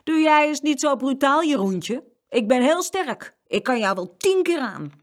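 A woman's voice: medium pitch, lively intonation, moderate pace, voice pushed up, close to an everyday voice. A woman voicing a boastful, condescending older boy who sneers stubbornly, in a children's storybook reading. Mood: defiant. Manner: bragging, condescending.

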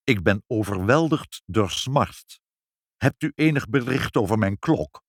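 A man puts on a pompous storybook baron. He is sorrowful and stubborn, with a stately, dismissive delivery and expressive swings of pitch, recorded dry. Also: moderate pace, no echo. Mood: mournful.

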